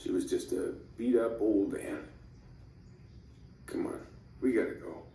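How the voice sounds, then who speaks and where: A man playing a big-hearted, theatrical older teenage brother with dramatic, exclamatory delivery in animated storybook dialogue. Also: fairly low pitch, a little slow, background noise, lightly acted. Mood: downcast, urgent. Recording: roomy, music clearly underneath.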